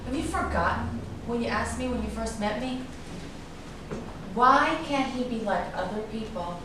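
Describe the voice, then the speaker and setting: A woman's voice: on the high side, normal speed, lightly acted. An actress playing an anxious, worn-down woman afraid of her volatile lover in a staged play reading. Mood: upset, fearful. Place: echoey indoor room at a staged reading. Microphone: distant microphone. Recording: distant echoey room, clearly noisy.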